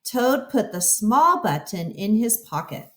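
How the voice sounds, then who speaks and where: A woman reads a children's story aloud in a teacherly, explanatory way. Her voice is fairly high and very animated, and she takes her time over the phrases. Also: slow pace. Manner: expressive, explanatory, addressing children.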